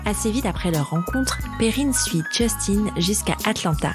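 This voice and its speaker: A woman's voice: mid-range voice, lively intonation, moderate pace, over music. A woman hosting a podcast, speaking informally and directly in an interview show style. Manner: informal, addresses listener and guest familiarly. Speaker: podcast host. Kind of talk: podcast introduction and conversational interview.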